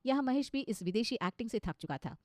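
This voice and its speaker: A woman's voice: fairly high pitch, animated, fast talker, darkish tone, no echo, clean studio sound. A woman narrating a scripted cartoon story as its storyteller.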